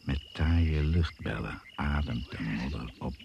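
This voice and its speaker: An actor playing a brooding narrator of a radio jungle thriller, speaking in deliberate, suspenseful phrases. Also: male voice, very deep voice, vocal fry, heavily acted. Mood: ominous. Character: brooding, ominous male storyteller of a jungle thriller. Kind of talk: Dutch radio play narration. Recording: noisy old radio play recording.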